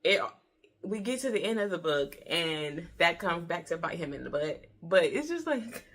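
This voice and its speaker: A woman's voice: fairly low pitch, very animated, moderate pace, fairly bright tone. Chatty American woman in her thirties. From Detroit, Michigan, USA. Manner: chatty, informal, emphatic repetition. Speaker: book review vlogger. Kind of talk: casual conversational book review.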